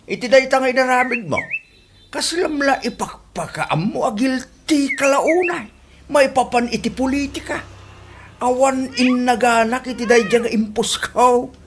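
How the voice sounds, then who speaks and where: A woman's voice: sits high, a little slow, background noise. A woman acting in an Ilocano radio comedy drama as a brash, gloating, theatrical woman with an Ilocano accent. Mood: defiant. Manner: loud, taunting, theatrical. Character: brash gloating woman mocking losers on social media. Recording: music behind the voice.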